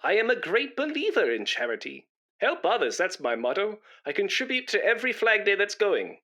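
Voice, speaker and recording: Comedy radio play: male actor as a self-important, boastful busybody, fairly high-pitched, swooping, bright delivery. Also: wildly swooping pitch, a little fast, full character voice. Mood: pompous, self-righteous. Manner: pompous, wheedling, self-important. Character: pompous, boastful, self-righteous male busybody blood donor.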